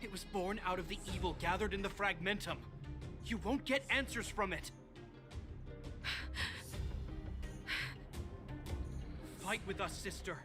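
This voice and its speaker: A man voicing a protective young soldier in a video game, earnest and measured, with a reassuring manner. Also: high voice, natural voice. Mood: urgent, pleading. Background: game music.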